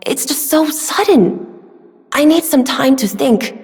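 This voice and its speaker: Soft-spoken wistful young weapons smith girl for a video game, polite and earnest, big reverby hall. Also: sits high, expressive, slow. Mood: shocked, unsettled.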